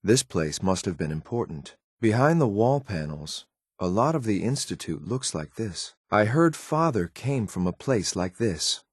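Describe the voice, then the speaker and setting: A grim synthetic soldier from a video game, with a low voice in a dry, close recording. He speaks in clipped, deadpan phrases with cold disdain for the world around him. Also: unhurried, husky, dry recording, heavily acted. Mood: cold, disdainful. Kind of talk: scripted video game companion lines.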